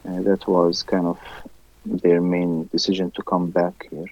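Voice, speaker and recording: A man whose first language is Arabic, a musician interviewed in English, who talks haltingly with many fillers and restarts. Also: deep voice, little pitch movement, no room sound, dull audio. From village near Haifa, raised there from first grade. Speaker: musician guest.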